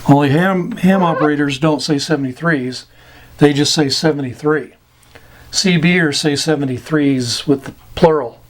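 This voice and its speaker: An American man giving an informal instructional talk, plain-spoken and pausing between short phrases. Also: fairly high pitch, low-quality recording. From United States. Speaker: amateur radio instructor. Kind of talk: informal slide lesson explaining radio slang.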